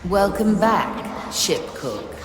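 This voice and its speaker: A woman's voice: mid-range voice, sing-song, extremely slow, a little hiss or hum. An actress voicing a commanding, solemn female spirit of a ghost ship in a video game, with ghostly reverb. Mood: wry, solemn. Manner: solemn, authoritative. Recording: echoey long reverb over music.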